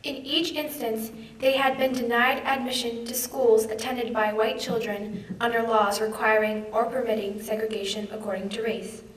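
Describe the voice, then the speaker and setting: A young woman gives a formal reading of a legal text. She has a high voice, picked up from a distance in a roomy hall. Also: level tone, moderate pace, hardly any pauses. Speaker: student reader. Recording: echoey hall, distant mic.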